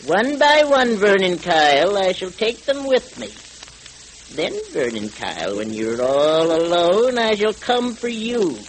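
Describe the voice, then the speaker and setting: An actor playing a menacing, righteous hooded vigilante in an old radio adventure serial, speaking in deliberate, threatening declamation. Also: male voice, sits high. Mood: vengeful, threatening. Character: menacing hooded masked avenger, grimly righteous male vigilante. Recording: crackly old radio recording, steady hiss.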